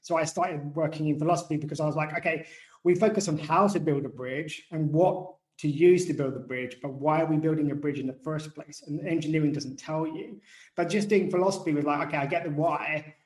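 A man in his thirties with a softened working-class British accent, chatting casually as a guest about his work, self-deprecating and given to digressions. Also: fairly high pitch, a little fast. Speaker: guest author. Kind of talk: casual interview talk. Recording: echoey room.

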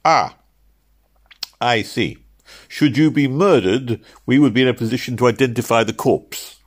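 A male narrator plays a fussy office clerk in an audiobook. The voice is pushed up high and swoops about, officious yet flustered, with hems and stammers. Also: very animated, measured pace, heavily acted. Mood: cheerfully cold-blooded. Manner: hemming, stammering, apologetic yet authoritative. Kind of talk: audiobook character voice.

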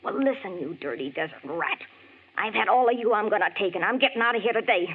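A woman's voice: medium pitch, animated, fast, slightly rough. A woman playing a desperate young woman trapped at a desert gas station, pleading and frantic, in a 1940s radio drama. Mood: bitter. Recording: tinny old radio broadcast.

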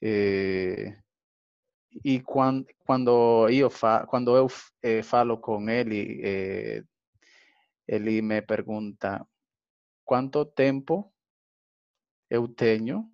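Man, from the Dominican Republic, slow, hesitant, lo-fi sound. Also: on the low side, clean tone. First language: Spanish. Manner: careful, hesitant learner speech with fillers. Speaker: language student. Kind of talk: interview answers.

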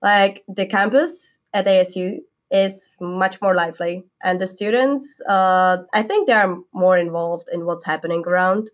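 A woman's voice: mid-range voice, unhurried, hardly any pauses. Young woman, Czech accent, upbeat student guest, easy laugh. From Czech Republic. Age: young adult. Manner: upbeat, laughs often, careful non-native English. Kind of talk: casual podcast chat.

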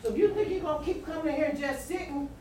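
High voiced lady evangelist, earnest, far from the mic, super echoey noisy room. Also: fast talker. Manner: earnest, repeating words. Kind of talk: exhortation at a church service.

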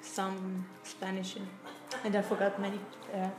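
A woman from Switzerland, chatting in halting English with many fillers as the one being interviewed. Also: mid-range voice, monotone, music in the background. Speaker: interviewee, traveller. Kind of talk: casual chat in learner English. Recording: echoey room with noise behind.

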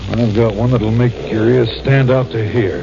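An actor playing a shady male gangster turned tipster in a 1940s radio drama, talking low and blunt. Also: steady tone, normal speed, vocal fry, lightly acted. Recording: muffled old radio transcription with hiss.

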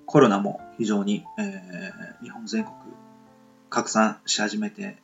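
A man hosting a casual solo podcast in a polite, humble, halting and apologetic way. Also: fairly low pitch, animated, gravelly, dull audio, faint music behind. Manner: halting, apologetic, self-deprecating. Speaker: podcast host introducing web novels. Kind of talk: casual solo radio talk.

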